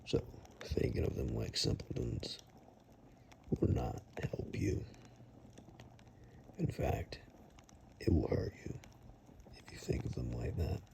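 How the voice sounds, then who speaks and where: A man playing a protective monster-hunting woodsman in an ASMR roleplay, half-whispering in a gravelly, deliberate, matter-of-fact way. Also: extremely low pitch, lively intonation, darkish tone, full character voice. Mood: grave warning. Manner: slow, deliberate, matter-of-fact reassurance with pauses.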